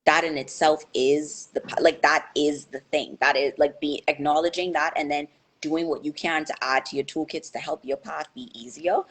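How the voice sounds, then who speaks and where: A woman's voice: sits low, steady tone, normal speed, husky. A young woman answering interview questions in a thoughtful, hesitant way, with many fillers and restarts. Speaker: guest.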